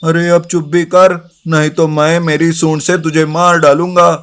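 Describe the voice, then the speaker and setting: A man performs a big, indignant elephant from a children's cartoon fable. The voice is somewhat high for a male. He talks like a stubborn arguer who will not be pushed aside. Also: flat delivery, a little slow, a bit bright. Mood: irritated. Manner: defiant, argumentative. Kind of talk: Hindi animated moral story dialogue.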